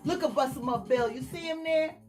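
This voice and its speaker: A woman reading a children's picture book aloud, expressive and speaking directly to young listeners. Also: sits high, fairly quick, faint music behind. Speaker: storytime reader. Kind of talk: children's story read-aloud.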